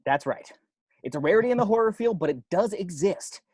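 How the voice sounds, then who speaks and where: A man's voice: high-pitched, lively intonation, fairly quick, a little mellow, husky, no echo, clean studio sound. Man playing an emphatic young horror-film geek. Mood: earnest, ominous. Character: dead young film geek lecturing on horror rules from video. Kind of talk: amateur at-home screenplay table read.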